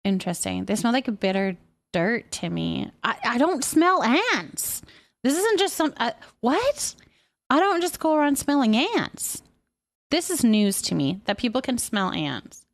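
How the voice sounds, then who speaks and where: A woman with a fairly high voice talks casually on a livestream. She is chatty, moving between comments she reads aloud and her own musings on them, in an unscripted, conversational way. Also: very animated, measured pace. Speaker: live streamer reading viewer comments.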